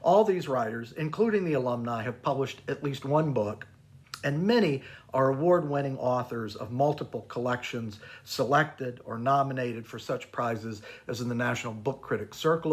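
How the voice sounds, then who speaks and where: Man giving a formal prepared introduction. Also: mid-range voice. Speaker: academic program director and anthology editor. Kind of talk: prepared introduction, read presentation.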